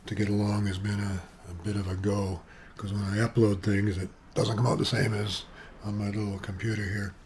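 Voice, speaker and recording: A soft-voiced man in his seventies from Canada talks on and on in a low, fairly flat voice, full of asides and fillers. He is recorded at home on a webcam with some external sound gear. A faint hiss sits behind him. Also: fairly quick. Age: 70s. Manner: chatty, eccentric, wandering with asides and fillers. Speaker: vlogger. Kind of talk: rambling personal monologue. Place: at home, sitting at his computer.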